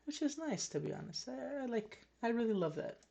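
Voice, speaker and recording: A woman's voice: on the high side, big pitch swings, brisk, creaky, mellow. A woman from Canada coaching a trader one on one over a video call, chatty and frank. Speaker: trading coach.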